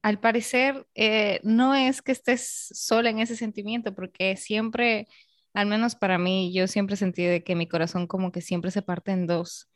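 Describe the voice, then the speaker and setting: A woman from Peru hosting a casual conversation, speaking thoughtfully with pauses. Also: medium pitch, fairly even intonation, no echo, clean studio sound.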